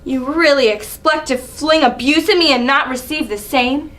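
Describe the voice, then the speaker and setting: A woman's voice: high-pitched, moderate pace, a bit bright. A woman as a defiant young prince. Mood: outraged. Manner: defiant, cutting. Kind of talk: dramatic reading of Greek tragedy.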